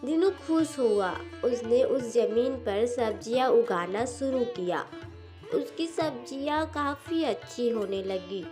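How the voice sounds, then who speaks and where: A woman's voice: on the high side, expressive, takes it slowly. A woman narrator reading a scripted cartoon story for children. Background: music.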